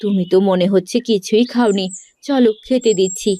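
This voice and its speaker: A woman plays a kind, hard-up village mother in an animated folk tale. She sounds determined, speaking as a grown woman in a cartoon drama. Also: medium pitch, moderate pace, lightly acted. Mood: kindly. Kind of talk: cartoon story acting.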